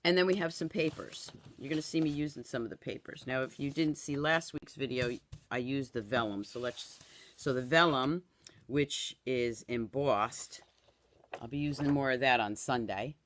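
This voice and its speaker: Raspy woman with a cold. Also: rumbling low, normal speed, slight background noise. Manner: chatty, greets viewers by name. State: hoarse from a cold. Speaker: craft product demonstrator. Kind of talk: live-streamed craft demo. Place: indoor home craft room. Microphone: camera in front, then overhead camera.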